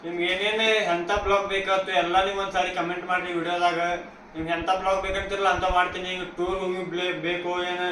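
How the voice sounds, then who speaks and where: A man's voice: high voice, level tone, fast talker, sharp tone. A man with a North Karnataka accent chatting casually to viewers as a vlogger, in slangy speech mixed with English words. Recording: roomy echo, faint background noise.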